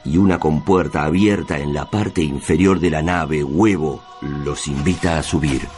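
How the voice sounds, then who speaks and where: A man narrating a radio drama in a solemn, measured, literary manner. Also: a bass voice, a little mellow. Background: music.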